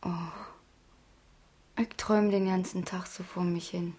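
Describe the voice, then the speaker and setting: An actress playing a gentle, dreamy injured schoolgirl in a German radio drama, with a soft voice. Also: lowish voice, flat delivery, takes it slowly, breathy edge. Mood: dreamy, frail. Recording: music behind, 1970s radio play.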